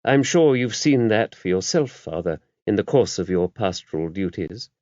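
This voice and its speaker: A man voicing an older abbess in an audiobook, a shrewd, gently wry woman who talks in a brisk, genteel, confiding way. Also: on the low side, expressive, dry recording, lightly acted. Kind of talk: audiobook character voice. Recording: dull, muffled lo-fi audio.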